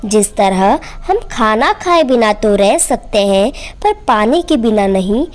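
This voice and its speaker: A woman plays a young daughter in a storybook tale, dutiful and devout. Her voice is fairly high and very unhurried, reasoning respectfully and gently. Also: lively intonation. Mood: sincere, calm. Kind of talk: Hindi moral storybook narration.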